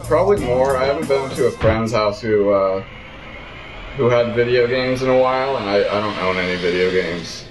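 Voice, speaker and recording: A man playing a video game on a couch, answering his co-host with dry, deadpan remarks. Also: medium pitch, moderate pace. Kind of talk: casual let's-play commentary. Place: indoor room, seated on a couch. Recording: roomy echo under loud game music.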